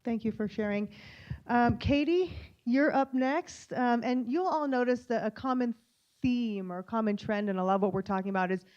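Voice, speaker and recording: A woman moderates a panel and guides speakers with friendly questions. Her voice sits at a middle pitch. The sound is muffled and lo-fi. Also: a little mellow. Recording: muffled lo-fi event recording.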